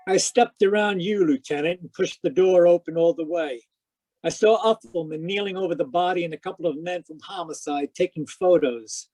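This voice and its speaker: Give this male actor's hard-boiled radio detective a slightly high voice and a clipped, wry delivery. He is brisk and matter-of-fact, narrating and questioning like an old-time mystery hero. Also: a little mellow, soft background music, low-quality recording. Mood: tense narration. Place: home, live video call performance.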